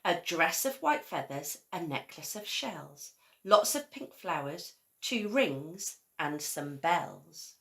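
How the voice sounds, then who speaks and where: A woman reading a children's story aloud in a measured, expressive storytelling manner, like a primary school teacher. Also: lowish voice.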